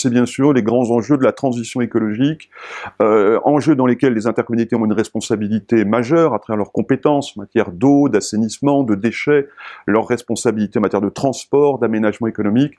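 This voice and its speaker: A man's voice: mid-range voice, dark tone. A man with a French accent giving an explanatory talk, often hesitating and stumbling as he searches for words. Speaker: expert spokesperson for local government association. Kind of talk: explanatory talk in an informational video.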